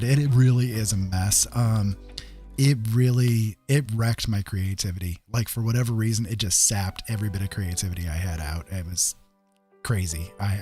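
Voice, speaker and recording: Casting: male art streamer, medium-pitched, casual and rambling, talking to chat over background music. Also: darkish tone. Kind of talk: casual livestream chat with viewers. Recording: music playing behind the voice.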